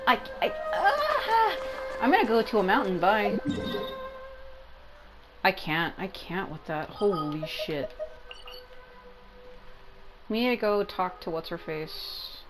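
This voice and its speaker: Expressive sweary woman gamer over music. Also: medium pitch, slow, long pauses, poor audio. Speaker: gaming streamer. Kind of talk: casual gameplay commentary. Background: game music.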